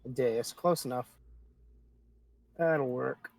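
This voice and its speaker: A man's voice: medium pitch, slow pace, vocal fry, a little mellow. A man talking casually and swearing freely as game master in a livestreamed tabletop game. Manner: informal, sweary.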